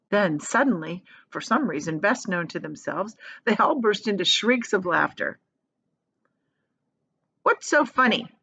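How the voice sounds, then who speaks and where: Very animated woman reading a storybook. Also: lowish voice, gravelly, slightly rough. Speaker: storybook reader. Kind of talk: expressive children's read-aloud.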